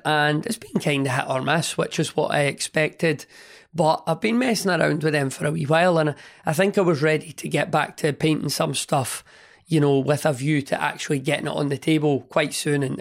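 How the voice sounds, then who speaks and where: Man, hoarse cold-worn voice, slightly high, rambling podcast chat. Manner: rambling, chatty, friendly enthusiasm. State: partly lost voice from a cold. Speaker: podcast host. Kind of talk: casual hobby podcast monologue.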